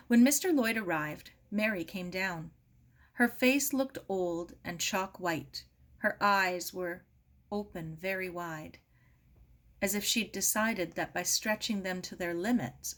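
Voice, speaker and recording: Slow, grave woman storyteller. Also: lowish voice, a bit bright. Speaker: audiobook reader. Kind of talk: dramatic scripted narration of a novel.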